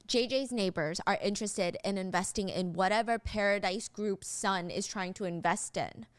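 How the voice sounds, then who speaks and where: A woman narrating a story in a chatty, animated way with sarcastic asides, on a close studio mic. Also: mid-range voice, normal speed, smooth, no room sound. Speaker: true crime storyteller.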